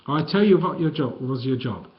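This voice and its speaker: A man's voice: mid-range voice, animated, moderate pace, lo-fi sound, pitched above the performer's own voice, natural voice. A man voicing a huge, jolly old female ex-wrestler with a guttural voice. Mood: hearty.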